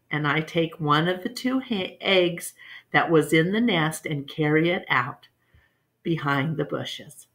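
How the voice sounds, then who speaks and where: Woman storyteller for children's read-aloud, lower-pitched, very unhurried delivery. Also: a bit bright.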